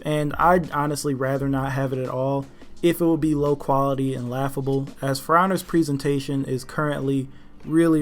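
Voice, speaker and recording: A man delivering scripted gaming news commentary in a casual, opinionated way, talking straight to viewers. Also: on the high side. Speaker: video game news YouTuber. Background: background music.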